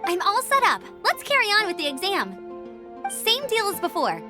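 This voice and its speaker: A woman voicing a young, energetic outrider and gliding instructor in a video game, eager and encouraging. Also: very high-pitched, normal speed, heavily acted. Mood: upbeat. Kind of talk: game voice acting. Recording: game audio with music behind.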